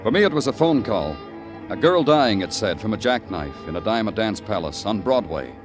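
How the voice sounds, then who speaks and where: An actor playing a world-weary police detective, narrating in a brooding, hard-boiled 1950s radio noir style. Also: male voice, on the low side, sing-song, normal speed. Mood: weary, grim. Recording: old radio recording with loud music.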